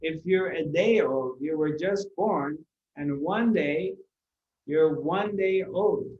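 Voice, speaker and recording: Slow-spoken man teaching, roomy recording. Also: on the high side, lo-fi sound. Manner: unhurried, repeats phrases and asks rhetorical questions. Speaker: spiritual teacher. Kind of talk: spiritual discourse to a live audience.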